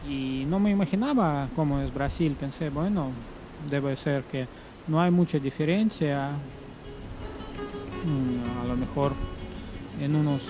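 Slow-talking man telling a travel story. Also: fairly high pitch, rough recording. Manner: unhurried, pausing often with fillers and self-corrections. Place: outdoor terrace above a beach. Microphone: stand mic in front of him. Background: music playing behind.

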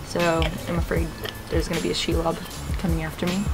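A young woman with a slightly raspy, fairly low voice chats to a handheld camera. She rambles casually, full of fillers and restarts. Music plays clearly behind her. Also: completely flat delivery, brisk, poor audio. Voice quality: raspy morning voice. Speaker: fitness vlogger. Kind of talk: casual vlog chat. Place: balcony, then kitchen and backyard. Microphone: handheld camera close up.

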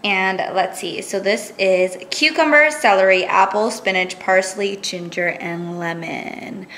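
Woman, 20s, vlogger, slightly raspy, upbeat casual chat, fillers, handheld camera at arm's length. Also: mid-range voice, unhurried, a bit bright, slight background noise, rough recording. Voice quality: raspy just after waking. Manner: chatty and upbeat, many "like" and "um" fillers. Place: apartment rooms, gym and car. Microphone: handheld fisheye action camera at arm's length.

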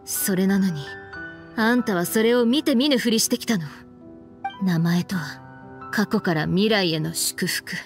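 An actress playing a stern, frank young woman in an anime game, speaking in a firm, reproachful, deliberate way. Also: mid-range voice, faint music behind, natural voice. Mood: stern, solemn. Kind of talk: anime game voice acting, dramatic dialogue.

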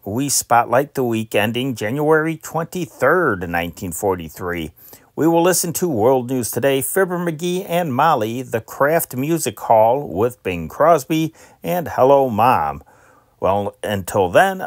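A man narrates as a program host in a scripted radio style. His medium-pitched voice moves in a lively way, and the recording is close and dry. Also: dry recording.

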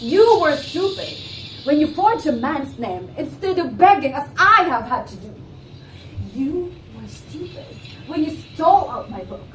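A woman's voice: high voice, a little hiss or hum, exaggerated voice. A young woman playing a proud, accusing woman in a stage play, declaiming emphatically. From Philippines. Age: young adult. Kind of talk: theatrical stage acting of a classic play. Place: indoor living-room stage set. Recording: roomy, distant microphone, music behind.

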